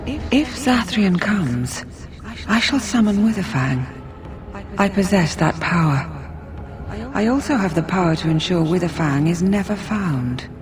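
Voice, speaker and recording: A woman voicing a solemn, compassionate forest spirit in a fantasy game, speaking in a measured, formal, earnest way. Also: on the low side, expressive. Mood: commanding. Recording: music behind the voice. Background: game music.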